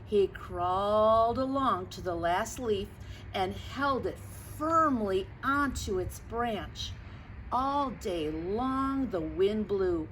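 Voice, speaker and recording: A woman reading a picture book aloud to children, expressive and dramatic, stretching words and chatting to her young listeners. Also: medium pitch, slow. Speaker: storyteller for children. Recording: clearly noisy background.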